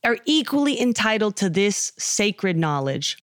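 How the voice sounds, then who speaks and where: A woman's voice: medium pitch, very animated, dry recording. Chatty woman, dry close sound. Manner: chatty, quick, laughs often. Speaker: podcast co-host. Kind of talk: casual scripture discussion.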